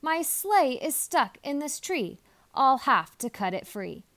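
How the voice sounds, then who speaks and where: A woman's voice: high-pitched, very animated, takes it slowly, a bit bright, exaggerated voice. A woman voicing a jolly, commanding old man in a children's storybook, in a hearty, emphatic way. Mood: dismayed. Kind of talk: children's storybook read-aloud.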